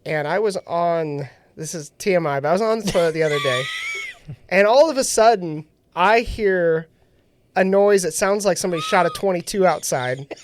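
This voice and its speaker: A man's voice: high voice, lively intonation, normal speed, shouting, slight background noise. A man hosting a casual radio talk show, sounding nasal after being sick, laughing often as he tells a story. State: nasal from recent illness. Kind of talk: casual chat.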